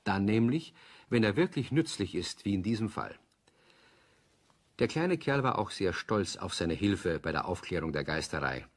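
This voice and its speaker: An older man narrating a children's audio play as an avuncular storyteller with a husky voice. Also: sits low, expressive, normal speed.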